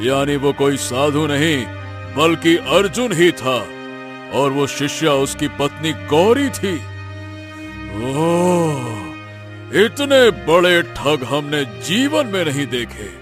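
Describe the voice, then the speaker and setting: Man playing a slow, dramatic, outwitted proud king. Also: fairly high pitch, very animated. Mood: dismayed. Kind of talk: animated story character acting. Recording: background music under the voice.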